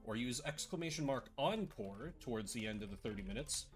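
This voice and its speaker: A man chats on his live stream in a lively, bouncing voice that is a little rough and scratchy today. He talks in a playful, sarcastic way. Faint music plays behind him. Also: mid-range voice, fairly quick. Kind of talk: casual live-stream chat.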